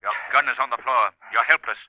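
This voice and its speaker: An actor playing an invisible crime fighter in a 1930s radio drama, commanding, mocking and ominous. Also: male voice, medium pitch, fast talker, dry recording, lightly acted. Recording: dull vintage 1930s radio recording.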